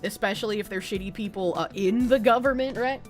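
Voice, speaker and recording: A woman's voice: mid-range voice, fairly quick, faint music behind. A woman with a mild Boston accent, chatting casually on a livestream, reading donations and answering chat in a rambling, frank, profane way with frequent restarts.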